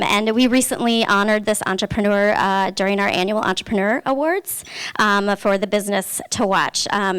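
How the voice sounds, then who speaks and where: Smooth-voiced woman presenter. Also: medium pitch, fairly bright tone. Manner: brisk, polite wrap-up. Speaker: nonprofit staff presenter. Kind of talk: stage presentation. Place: large hotel ballroom. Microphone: handheld microphone.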